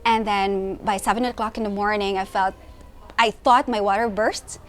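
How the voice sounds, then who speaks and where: A woman in her late thirties telling her story in a brisk, matter-of-fact way. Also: medium pitch, fairly even intonation. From Singapore. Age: 30s. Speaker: mother sharing her story. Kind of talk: personal testimony.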